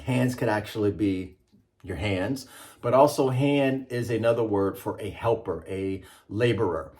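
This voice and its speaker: A man's voice: on the low side, unhurried, a little mellow. A middle-aged man, a children's author, presenting and reading a picture book aloud with an emphatic, rhythmic delivery.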